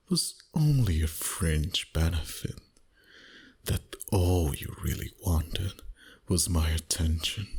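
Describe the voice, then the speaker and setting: A man voicing a dominant, flirty vampire boss in an intimate ASMR roleplay, teasing slowly in a husky voice that often drops to a whisper. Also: low voice, darkish tone, exaggerated voice. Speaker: voice actor. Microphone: close microphone.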